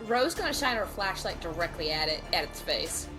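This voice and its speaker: A woman's voice: lowish voice, very animated, normal speed, over music. A woman talking casually as a player in a tabletop role-playing game.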